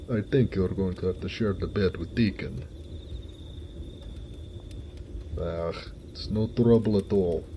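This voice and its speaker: A man playing a gentle, affectionate orc blacksmith in a romantic audio roleplay, speaking tenderly with some teasing. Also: lowish voice, a little hiss or hum, natural voice. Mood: hospitable, caring.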